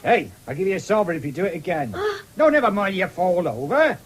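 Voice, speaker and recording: An actor playing a rough, blustering farmer father in a radio drama, taunting in a rural English accent. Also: sits high, very animated, fast, heavily acted. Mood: boisterous, amused. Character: coarse, bullying, boisterous farmer father. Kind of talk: BBC radio drama acting. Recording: faintly noisy old radio broadcast.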